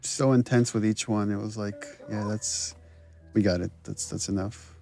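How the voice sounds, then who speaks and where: A man's voice: on the low side, fairly even intonation, moderate pace, vocal fry, dark tone, soft background music. A man giving casual, explanatory behind-the-scenes commentary as a creator.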